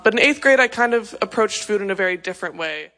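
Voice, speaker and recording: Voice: mid-range voice, expressive, fast, pushed and bright. A young adult speaking candidly and reflectively about personal experience, with some hesitation. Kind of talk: personal testimony.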